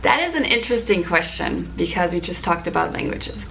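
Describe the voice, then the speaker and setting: A young woman whose first language is German talks in a slightly low voice. She explains things informally, as in a casual vlog to camera. Some background noise from the hotel room sits behind her. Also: sing-song, brisk, creaky, a bit bright, low-quality recording. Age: 20s. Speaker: vlogger, flight attendant. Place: small hotel room, indoors.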